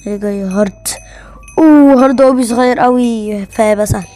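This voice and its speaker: A child with an Egyptian accent chatting excitedly while playing a video game, playfully repeating phrases. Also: medium pitch, fairly quick, faint music behind. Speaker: gaming video co-host.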